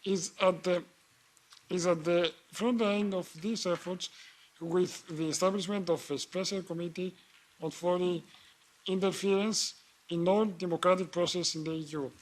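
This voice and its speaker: A man, a member of parliament, giving a formal statement and question at a committee hearing, measured with frequent fillers and restarts. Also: high-pitched, poor audio.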